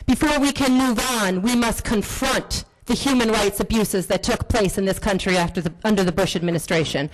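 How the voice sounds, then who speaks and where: Woman giving a forceful accusatory public comment. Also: on the high side, low-quality recording. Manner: forceful, accusatory, repetitive rhetoric. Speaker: public commenter at county meeting. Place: county board meeting room.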